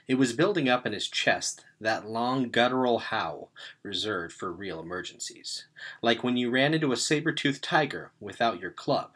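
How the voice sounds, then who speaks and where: A man reading a story aloud as narrator, in an expressive way with dramatic emphasis. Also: on the low side. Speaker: read-aloud reader.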